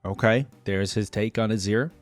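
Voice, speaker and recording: A man's voice: lowish voice, normal speed, soft background music. A man hosting a reaction video, giving informal, slangy, conversational commentary.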